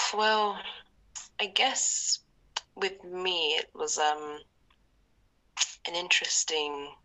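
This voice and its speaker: A young woman from Zimbabwe, talking reflectively as a podcast guest, with many fillers and often trailing into breathy near-whispers. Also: fairly low pitch, fairly even intonation, drawn-out words, pauses often, bright, forceful tone, poor audio. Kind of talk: casual interview conversation.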